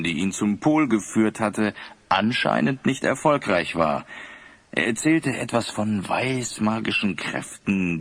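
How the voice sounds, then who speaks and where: A fallen old ruler in a fantasy audio drama. He speaks very slowly in a lowish voice, solemn and full of remorse, as if in confession. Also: fairly bright tone, full character voice. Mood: reflective, sombre. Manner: solemn, confessional, formal wording. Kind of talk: German fantasy audio play acting.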